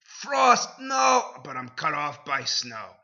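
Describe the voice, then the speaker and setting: A man's voice: a little high, sing-song, takes it slowly, sharp tone. A man giving an over-the-top dramatic reading, with an overwrought delivery broken by dry asides. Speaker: dramatic reader. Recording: dull lo-fi recording.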